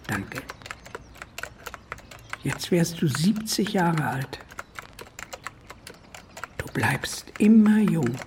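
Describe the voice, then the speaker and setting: An actress playing an adoring fan who mourns a lost idol in a halting, devotional radio piece. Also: female voice, low voice, exaggerated voice. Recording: noisy, with clicking and typing sounds.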